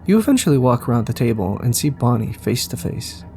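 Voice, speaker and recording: A man's voice: mid-range voice, big pitch swings, brisk, creaky, darkish tone. A man narrating a scripted audio story in the second person, with faint ambience behind him. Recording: faint background noise and ambience.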